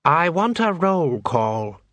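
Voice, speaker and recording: A man's voice: high-pitched, lively intonation, normal speed, dry recording, voice pushed up. A man voicing a stern, snappish assassin guild leader in a curt, commanding manner for an audiobook. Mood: snapping, commanding.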